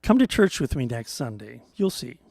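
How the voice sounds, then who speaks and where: A man's voice: medium pitch, very animated, moderate pace, vocal fry, a little mellow, no echo, studio quality. A man voicing a devout, matter-of-fact older fishing buddy, wry and folksy, in a storytelling reading. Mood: wry, assured.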